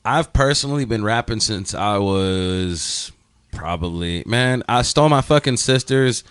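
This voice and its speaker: A man's voice: lowish voice, animated, fairly bright tone, clean tone, no echo, studio quality. A man, a rapper telling stories in a casual podcast interview, cursing freely in a laid-back way. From Texas. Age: 30s. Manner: laid-back storytelling, heavy cursing, quick laughs. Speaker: guest rapper.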